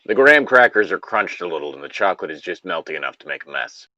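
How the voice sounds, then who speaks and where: A man's voice: deep voice, sing-song, fast talker, sharp tone, no echo, lo-fi sound. A man reading a comic story casually, breaking off into jokey asides and banter. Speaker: co-reader of an internet story.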